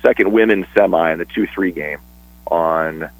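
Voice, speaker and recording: Husky-voiced man talking sports on radio. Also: low-pitched, moderate pace, no echo, poor audio. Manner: chatty, restarts and fillers, laughs easily. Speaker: sports broadcaster guest. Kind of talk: casual radio sports chat.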